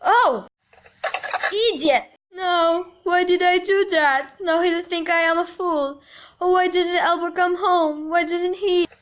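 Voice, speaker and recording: A woman's voice: very high voice, slow pace, fairly bright tone, slight background noise, heavily acted, rough recording. A woman acting an anxious, demanding, self-pitying invalid wife in an amateur radio play, agitated and querulous. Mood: fretful, impatient. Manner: agitated, querulous, exclamatory, repeating herself.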